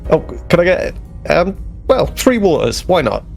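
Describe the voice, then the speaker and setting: A man's voice: sits high, very animated, moderate pace, creaky, a little mellow, faint music behind, pitched above the performer's own voice, heavily acted. Man playing an eager, hesitant young starship ensign. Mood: cheerful, polite. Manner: polite, hesitant, friendly.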